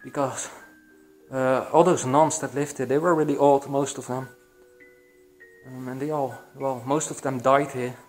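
A young man with a Belgian accent narrating his exploration of an abandoned building to his vlog audience, excited and hushed, with many fillers, recorded on an action camera. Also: medium pitch, measured pace, soft background music. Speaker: urban explorer vlogger. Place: abandoned building, echoey halls and attic. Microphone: action camera, handheld or body-worn.

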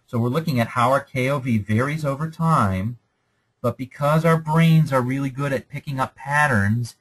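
Husky-voiced man giving a lecture. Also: medium pitch, normal speed, a bit bright, low-quality recording. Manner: explanatory, conversational, with frequent "okay?" checks. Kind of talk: recorded slide lecture.

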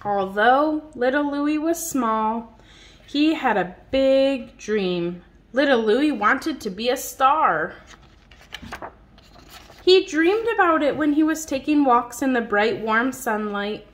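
A woman with a high voice reads a storybook aloud to a small child. She goes slowly and expressively, stretching words for a young listener. The home phone recording has some faint noise. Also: lively intonation. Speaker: picture book reader to a small child. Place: indoors at home on a dark wood floor.

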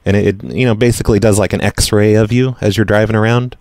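A man making a casual prank phone call in a deadpan, hesitant manner, rambling and repeating himself as he goes. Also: on the low side, fast, no room sound, clean studio sound. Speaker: prank caller, show host.

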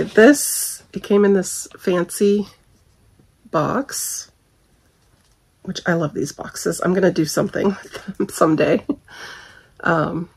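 Husky, gravelly woman losing her voice. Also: fairly low pitch, darkish tone. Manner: chatty, candid, frequent ums and little laughs. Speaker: haul vlogger. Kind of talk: casual product review chat. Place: bedroom at home.

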